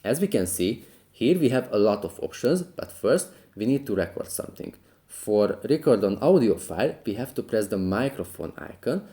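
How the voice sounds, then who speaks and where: A man's voice: on the low side, very animated, normal speed, warm tone. A man hosting a step-by-step app tutorial, explaining each action in a plain, instructive way. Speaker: tutorial host.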